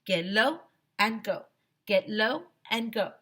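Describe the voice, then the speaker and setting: A woman reading a storybook voices a brisk, eccentric woman safety instructor in an emphatic, instructive manner. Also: medium pitch, animated, fairly bright tone, husky, pitched above the performer's own voice, heavily acted.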